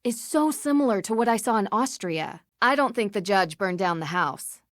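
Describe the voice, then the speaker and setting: An earnest young woman detective from a video game , acted by a woman. She speaks in a measured, conversational way at a middling pitch, curious and level-headed, in a dry, close recording. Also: animated, dry recording, lightly acted. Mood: thoughtful, suspicious.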